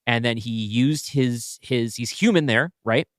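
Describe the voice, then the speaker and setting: A man co-hosting a podcast, brainstorming casually and thinking aloud in halting, self-correcting bursts. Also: medium pitch, dry recording, clean studio sound. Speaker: podcast co-host and writer.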